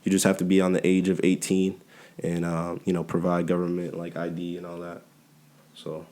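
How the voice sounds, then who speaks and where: Male podcast co-host, low pitch, easygoing, conversational. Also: monotone, normal speed, warm tone. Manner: laid-back, keeps asking "you know what I'm saying". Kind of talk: casual chat.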